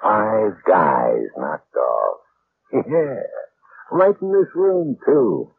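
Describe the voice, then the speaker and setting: A swaggering killer from a vintage radio crime play. His low voice lurches dramatically up and down as he menaces in tough-guy slang. The recording is tinny, with faint noise. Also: huge pitch swings, extremely slow, vocal fry, exaggerated voice. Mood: gloating, menacing. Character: swaggering, sadistic killer posing as a detective. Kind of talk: old-time radio crime drama acting.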